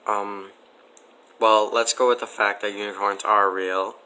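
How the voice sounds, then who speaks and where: A man giving casual, matter-of-fact interview answers in a deadpan way. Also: fairly low pitch, measured pace, clear, resonant tone.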